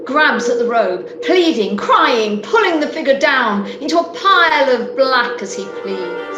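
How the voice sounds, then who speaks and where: A woman narrating an amateur radio play in a deliberate, dramatic storytelling manner. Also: high-pitched, animated. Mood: dramatic, measured. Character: story narrator describing scenes. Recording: roomy echo, music underneath.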